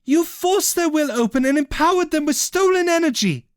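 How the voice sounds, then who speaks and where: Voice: high-pitched, animated, moderate pace, dry recording, clean studio sound, voice pushed up. A narrator voicing a fevered, furious young woman mage. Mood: outraged. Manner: sharp, outraged, strained.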